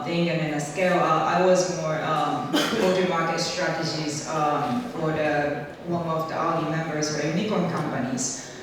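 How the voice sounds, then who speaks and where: A woman answering on a panel, halting and full of fillers, with light laughs. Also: fairly low pitch. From Japan. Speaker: panelist, investor. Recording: echoey hall, distant mic, faint hum.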